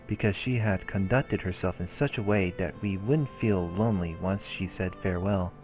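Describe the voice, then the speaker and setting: A man's voice: on the low side, darkish tone. A man hosting a let's play, reading a visual novel's narration aloud like a storyteller. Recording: music under the voice. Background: game background music.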